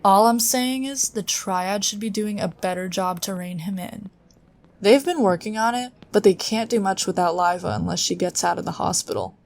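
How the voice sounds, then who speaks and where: A woman's voice: medium pitch, normal speed, lightly acted. A woman playing an earnest, loyal female psion colleague who speaks directly. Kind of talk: fan audio drama acting.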